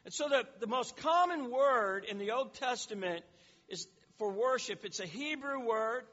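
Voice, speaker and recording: A man's voice: very high-pitched, animated, normal speed, bright, forceful tone, big-room sound, highs cut off. A man teaching a sermon in an explanatory way, often repeating words and restarting phrases. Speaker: preacher.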